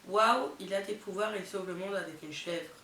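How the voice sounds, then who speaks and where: A woman's voice: medium pitch, moderate pace, sharp tone. A young woman with a head cold and a nasal voice, chatting casually to her viewers and reacting with amused asides as she reads out summaries. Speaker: vlogger. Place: indoors, not her bedroom. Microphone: camera mic. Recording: roomy, distant camera mic with faint hiss.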